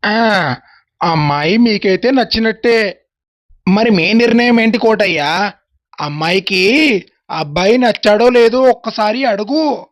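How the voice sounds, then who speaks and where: A man playing a genial village priest who arranges marriages, speaking in a measured, familiar way in a dramatized story. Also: sits high, animated, no echo, studio quality. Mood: businesslike. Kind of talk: dramatized moral story acting.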